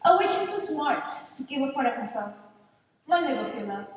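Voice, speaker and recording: Woman playing a high-voiced proud swamp witch, echoey stage. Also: very animated, brisk, a little hiss or hum. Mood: sly. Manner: theatrical, declamatory, with Spanish phrases. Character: proud, sly outcast swamp witch, mocking and knowing. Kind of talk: stage play acting. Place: large indoor theater stage, distant camera. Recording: echoey theater stage from far off.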